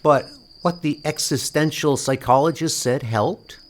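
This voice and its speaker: A man's voice: fairly high pitch, unhurried, slight background noise, pitched above the performer's own voice, lightly acted. A man voicing a nervous, awkward young woman in a comic book dub, with hesitant delivery. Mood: puzzled.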